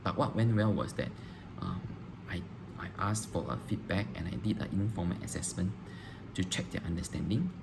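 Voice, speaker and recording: A man, a teacher answering interview questions on video, pausing often with ums and restarting phrases. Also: low voice, lively intonation, vocal fry. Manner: hesitant, frequent ums and restarts. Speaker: teacher applying for a job. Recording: noisy background hiss.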